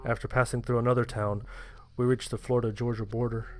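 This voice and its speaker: A man's voice: medium pitch, level tone, fast. A man reading a scripted first-person horror story as its narrator.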